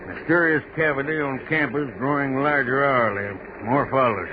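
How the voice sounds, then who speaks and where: A man's voice: medium pitch, steady tone, moderate pace, phone-quality sound, natural voice. A man playing a skeptical, wisecracking newsroom chief in a 1940s radio drama, on a noisy old recording. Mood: sardonic, dismissive. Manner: sardonic, slangy.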